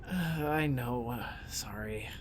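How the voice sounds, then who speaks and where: A man's voice: mid-range voice, takes it slowly, slight background noise, close to an everyday voice. A man in an audio drama playing an awkward, timid young man from a small town, hesitant and apologetic. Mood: flustered, shy. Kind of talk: naturalistic audio drama acting.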